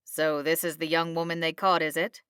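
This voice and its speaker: A woman's voice: low-pitched, flat delivery, normal speed, a bit bright, clean tone, no room sound, studio quality. A woman voicing a thin, authoritative male military captain in a storybook, sceptical, clipped and sardonic. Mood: questioning.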